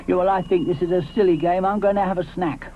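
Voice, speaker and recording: A man voicing a grumpy, stubborn male allosaurus with a gruff, stammering manner in a storybook. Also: high-pitched, flat delivery, fast talker, voice pushed up, close to an everyday voice. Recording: tinny lo-fi with faint hiss.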